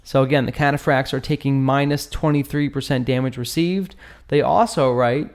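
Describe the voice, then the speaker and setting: A man with a mid-pitched voice talks through a game battle as a channel host. His delivery is informal and conversational, full of slang and joking asides. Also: steady tone, normal speed. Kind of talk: casual gameplay commentary, analysing a battle report.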